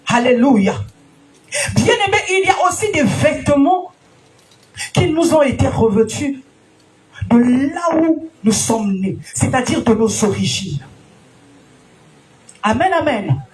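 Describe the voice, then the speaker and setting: High-voiced woman preaching with shouted peaks. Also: sing-song, slow, long pauses, a little hiss or hum, low-quality recording. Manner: emphatic sing-song preaching with shouted peaks; frequent alleluias and direct questions to listeners. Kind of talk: sermon. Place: church gathering.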